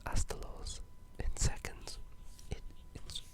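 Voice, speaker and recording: Soft, unvoiced whisper, casual and rambling. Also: slow pace. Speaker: video game ASMR creator. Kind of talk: whispered ASMR gaming ramble.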